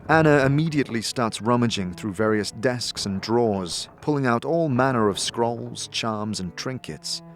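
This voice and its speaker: A man's voice: mid-range voice, lively intonation, moderate pace, hardly any pauses, soft background music. A man narrating a scripted fantasy audio drama in a dramatic storytelling manner. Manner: dramatic, storytelling in second person. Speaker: narrator, game master.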